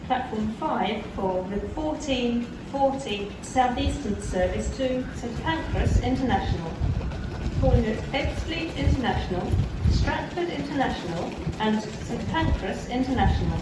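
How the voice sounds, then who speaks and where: Echoing, slow British woman station announcer. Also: fairly high pitch, animated. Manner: formal, measured, segmented phrasing. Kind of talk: scripted automated train announcement. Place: large railway station, over loudspeakers. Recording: distant, echoing station PA with clear noise.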